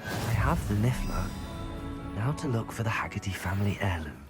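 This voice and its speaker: An actor playing an earnest, courteous young student hero in a video game, speaking in a measured way. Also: male voice, fairly low pitch, expressive, loud music behind the voice, lightly acted. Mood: musing.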